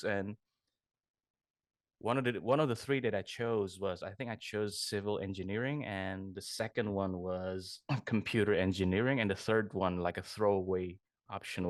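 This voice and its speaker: A man's voice: low-pitched, dry recording, clean studio sound. A young man from Indonesia, a guest artist chatting casually in an interview, rambling and correcting himself as he goes. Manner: rambling, self-correcting, laughs often.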